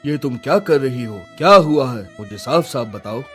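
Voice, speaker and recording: A man's voice: mid-range voice, lively intonation, normal speed, faint music behind, natural voice. A man voicing an anxious, commanding king in a melodramatic Hindi cartoon. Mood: alarmed, demanding.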